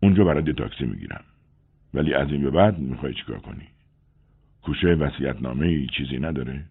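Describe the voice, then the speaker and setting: A man voicing a calm, gruff police inspector in an audiobook, with a husky tone on tinny phone-like audio. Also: very deep voice, voice pushed down, exaggerated voice. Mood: steady. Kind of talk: audiobook character voice.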